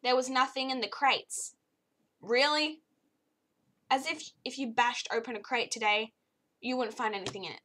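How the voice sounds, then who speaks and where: A young woman reviews a video game in a loose, chatty way, her fairly high voice a little croaky today. She gets easily exasperated and fills her talk with ums and restarts. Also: normal speed, pauses often, bright, forceful tone. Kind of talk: casual spoken game review.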